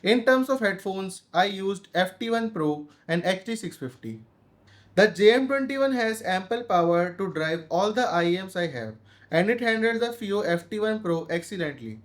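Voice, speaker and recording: A man with a noticeably high voice and an Indian accent presents a gear review. He reads from prepared narration as a reviewer, going through the points in order. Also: expressive, normal speed.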